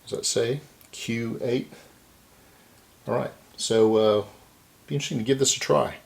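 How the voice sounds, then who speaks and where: A man's voice: medium pitch, fairly even intonation, measured pace, creaky. A man giving a casual hands-on repair walkthrough, unscripted and thinking aloud as he works. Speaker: repair channel host.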